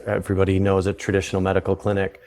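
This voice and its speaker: A man with a Canadian accent, a guest on an interview podcast, explaining his work in a relaxed way and often restarting his sentences. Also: low voice, very fast. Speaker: guest, psychedelic retreat founder. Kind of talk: conversational interview.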